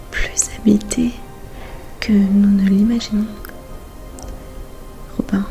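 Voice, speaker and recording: A woman playing an awestruck space mission scientist in a whispered ASMR roleplay, speaking in a slow, hushed, solemn and poetic way. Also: mid-range voice, rough recording. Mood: solemn wonder. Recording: music behind the voice.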